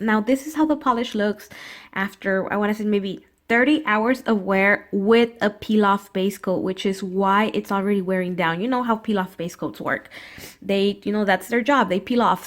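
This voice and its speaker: A woman with a stuffy, cold-blocked voice, chatting casually through a nail polish review with plenty of "you know" and "uh". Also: medium pitch. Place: indoors at a table.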